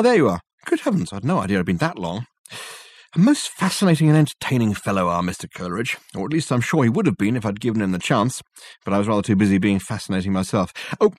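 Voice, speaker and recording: A man's voice: medium pitch, husky, no room sound, studio quality. A man voicing an effusive, self-satisfied male detective who talks in flowery, rambling sentences.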